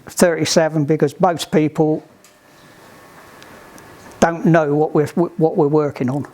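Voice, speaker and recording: A man giving hands-on instruction to a group in a halting, deliberate, emphatic way, on an amateur recording. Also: fairly high pitch, flat delivery, warm tone, a little hiss or hum. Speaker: sailing club instructor giving radio training.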